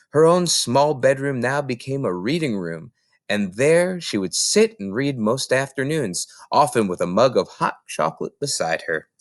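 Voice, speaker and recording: A man reading a children's novel aloud as an expressive audiobook narrator. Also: mid-range voice, no echo, studio quality. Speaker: storybook reader.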